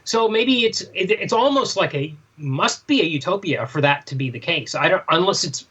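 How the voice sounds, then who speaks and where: Podcast co-host, mid-range voice, conversational and animated, working through thoughts out loud in a casual review chat. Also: medium pitch, moderate pace, husky, dull audio. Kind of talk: casual comic book discussion.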